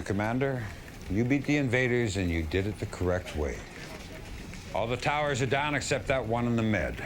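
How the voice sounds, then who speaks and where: Stern, very low-voiced general played by a man. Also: background noise, close to an everyday voice. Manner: stern, plain-spoken. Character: gruff, blunt military general addressing his commander. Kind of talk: dramatic game cutscene acting.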